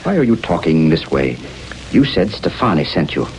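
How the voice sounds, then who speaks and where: A proud judge with his back to the wall in a vintage radio serial. The voice is low, formal and strained, quick to indignation. Light music sits behind it. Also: sing-song, a little mellow, rough recording. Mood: bitter, cornered. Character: troubled male judge, proud, cornered and suspicious. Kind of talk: 1930s-40s radio drama acting.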